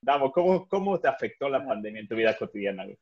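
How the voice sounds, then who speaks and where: A man's voice: mid-range voice, sing-song, fast talker, dull audio. A man with a Mexican accent hosting a casual group podcast call. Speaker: podcast host.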